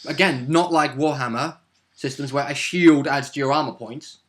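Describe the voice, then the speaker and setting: Bright-sounding man, a tabletop gamer chatting. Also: mid-range voice, moderate pace. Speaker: player in the tabletop game. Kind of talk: casual chat about a role-playing game.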